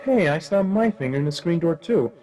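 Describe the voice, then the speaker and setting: A man playing a hapless, wry private detective in a comic noir radio play, delivered deadpan. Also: on the high side, a little fast, lightly acted. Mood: curious.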